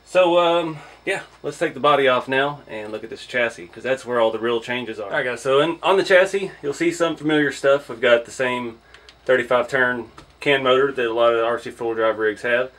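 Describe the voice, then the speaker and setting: A man's voice: mid-range voice, brisk, a bit bright. Casual man doing a hands-on product look. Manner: informal, thinking aloud, chuckles often. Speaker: hobby vlogger reviewing an RC truck.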